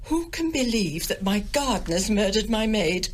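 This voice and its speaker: A woman's voice: medium pitch, big pitch swings, normal speed. An actress playing a domineering, self-pitying older English village mother in a radio drama, haughty and querulous. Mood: fussy, scolding. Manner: querulous, haughty, melodramatically aggrieved.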